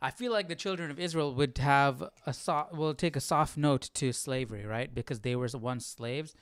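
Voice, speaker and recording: A man's voice: medium pitch, moderate pace, smooth, dry recording, studio quality. A man hosting a Bible study livestream, reading aloud and commenting casually, often questioning and checking for agreement.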